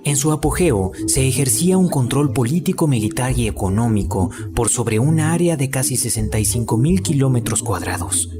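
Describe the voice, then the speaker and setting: A man with a Latin American Spanish accent delivers a scripted documentary voice-over. His voice sits at an average pitch for a man, and music plays clearly underneath the narration. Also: lively intonation, normal speed, hardly any pauses. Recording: music behind the voice. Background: background music.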